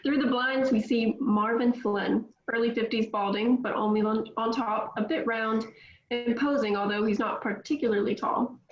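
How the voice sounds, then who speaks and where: A woman with a somewhat high voice reads stage directions in a plain, measured way. The recording is roomy and a bit dull, with a faint hiss underneath. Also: fairly even intonation. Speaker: narrator reading stage directions. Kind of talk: table read narration.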